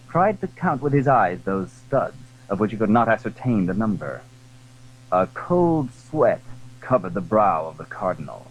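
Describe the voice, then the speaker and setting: A man narrating a classic adventure story in a dramatic, scripted style, with a husky voice. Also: mid-range voice, highs cut off.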